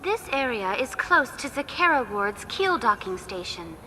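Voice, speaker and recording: A woman playing a polite, impassive virtual tour guide program in a game, speaking in formal, measured, instructive phrases. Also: on the high side, sing-song, sharp tone, full character voice. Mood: courteous, impassive. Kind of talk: scripted informational guide narration. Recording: echoing hall, faint background noise.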